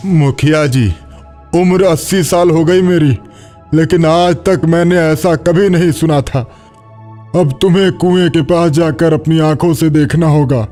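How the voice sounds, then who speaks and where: A man's voice: a little high, steady tone, unhurried, mellow, soft background music, lightly acted. A man playing an earnest, superstitious village elder in his eighties for a cartoon horror story. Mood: astonished, puzzled. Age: 80s. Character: superstitious eighty-year-old village elder, alarmed believer in ghosts. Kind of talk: Hindi animated horror story acting.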